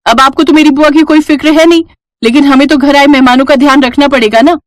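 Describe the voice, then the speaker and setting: A woman voicing a short, sharp-tongued, bratty young sister-in-law in a story, whiny, scolding and sarcastic. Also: sits high, fairly even intonation, quick pace, no room sound, studio quality, voice pushed up, lightly acted. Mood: angry, accusing.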